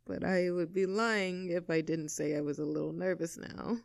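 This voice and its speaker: A woman's voice: lowish voice, steady tone, gravelly, darkish tone, no room sound, studio quality. A woman playing an easygoing young tomboy with a secret crush in an intimate audio roleplay, casual, teasing and tender in manner. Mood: tender, shy. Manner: casual, teasing, tender, halting when flustered.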